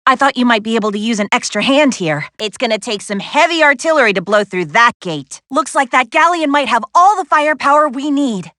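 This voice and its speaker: A woman voicing a spirited, capable young woman adventurer in an animated video game, with an American accent and a wry, sassy, instructive way of talking. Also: on the high side, no room sound, studio quality. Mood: wry, businesslike. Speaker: video game character voice actress.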